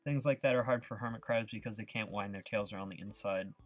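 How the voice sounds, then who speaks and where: A man's voice: lowish voice, fast talker, faint music behind. A man hosting an art livestream, chatting casually and ramblingly with viewers and prone to giggling. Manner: giggly, breaks into laughter often. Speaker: livestreamer, artist hosting a live painting stream. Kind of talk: casual chat to live viewers.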